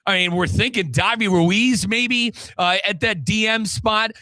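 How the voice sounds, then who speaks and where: Man, sports show host. High, punchy bright delivery, very dry sound, putting questions to a guest. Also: unhurried, dry recording. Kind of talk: animated sports talk interview.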